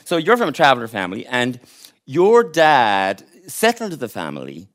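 Lively man hosting a panel. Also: medium pitch, normal speed. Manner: lively, probing questions. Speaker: host. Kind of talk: panel discussion.

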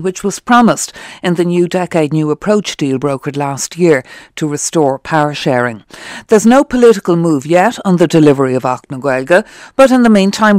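News presenter reading crisp, dry copy. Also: medium pitch, no room sound.

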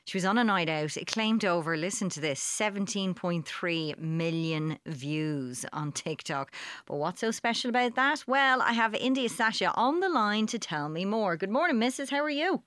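A woman hosting a live radio interview, chatty and halting with frequent fillers. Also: mid-range voice, expressive, fairly bright tone, dry recording, studio quality. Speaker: radio host. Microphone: studio microphone.

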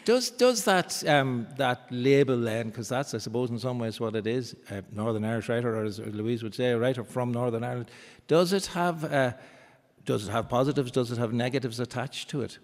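A man's voice: medium pitch, fairly quick. A man hosting a book festival talk in a gallery's marbled hall, speaking eloquently with occasional stumbles. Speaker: host of a book festival event. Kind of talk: formal introductions and questions. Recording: long reverb of a large stone hall.

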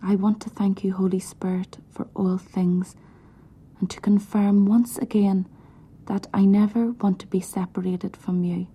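A woman softly whispering a prayer, hushed and pleading. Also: medium pitch, husky. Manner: hushed, pleading, slow and even.

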